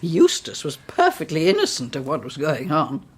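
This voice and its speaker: Low, animated, proud Victorian mother-in-law. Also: moderate pace, husky, exaggerated voice. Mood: grave, narrating. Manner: emphatic, formal, pronouncing judgements. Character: proud, blunt older Victorian mother-in-law, protective of her son. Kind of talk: period radio drama acting.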